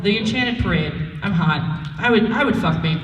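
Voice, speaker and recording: A woman's voice: mid-range voice, animated, moderate pace, lo-fi sound. A woman voice actor telling casual comic anecdotes on a live convention panel, bawdy, self-mocking and sweary. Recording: echoey hall, distant mic, background noise.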